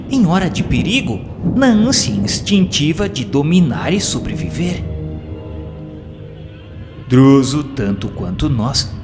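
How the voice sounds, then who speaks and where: A man with a Brazilian accent reads a scripted audio novel as its narrator, in a solemn, formal and measured literary manner. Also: mid-range voice. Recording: long hall reverb, music underneath. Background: background music.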